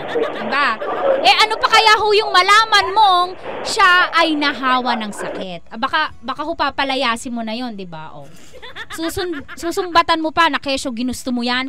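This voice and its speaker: A woman with a Filipino accent and a high-pitched voice co-hosts a radio show. She talks casually and with animation, teasing and playfully scolding as she gives her take. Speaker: radio co-host. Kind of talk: casual radio advice banter.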